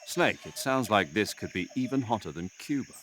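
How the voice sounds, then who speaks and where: Sharp-voiced, deep military commander. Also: male voice, lively intonation, a little fast, a little hiss or hum. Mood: concerned, urgent. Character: brisk, serious male military commander supporting an agent. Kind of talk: dramatic video game cutscene acting.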